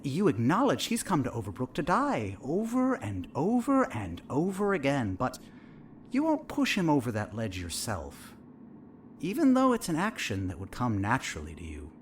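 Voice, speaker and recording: A man's voice: medium pitch, wildly swooping pitch, moderate pace, slight background noise. A man playing a smug, all-knowing therapist in an audio drama, asking leading questions in an insinuating, theatrical manner. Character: probing, needling male therapist, smug and eerily all-knowing. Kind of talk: audio fiction dialogue.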